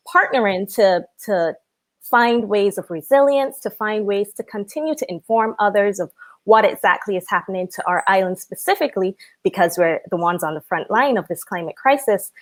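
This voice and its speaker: A woman with a Barbadian accent, an artist being interviewed, who answers earnestly and thinks aloud with ums and restarts. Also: mid-range voice. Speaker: artist guest.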